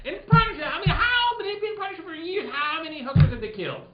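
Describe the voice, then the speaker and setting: A man playing a detective explaining a case in a halting, hammy, jokey radio spoof. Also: extremely high pitch, lively intonation, heavy background noise, pitched above the performer's own voice. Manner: halting, hammy, breaks into laughter and asides. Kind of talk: mock old-time radio play acting. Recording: echoey live room, dull sound.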